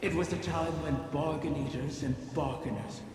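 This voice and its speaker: An actor playing an ancient wish-granting dragon, cunning and solemn, speaking gravely and formally in a video game, with a cavernous effect. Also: male voice, fairly high pitch, fairly even intonation, background noise. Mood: elegiac. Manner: slow, grave, formal, deliberate. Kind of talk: scripted video game dialogue. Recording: long cavernous reverb. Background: music.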